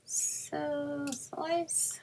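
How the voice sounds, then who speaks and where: A woman with a sore, scratchy throat, explaining a crafting software task step by step in a chatty way and muttering to herself as she clicks. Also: high-pitched, little pitch movement, drawn-out words. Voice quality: raspy with creaky fry. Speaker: crafting tutorial host. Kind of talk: screen-recorded software walkthrough.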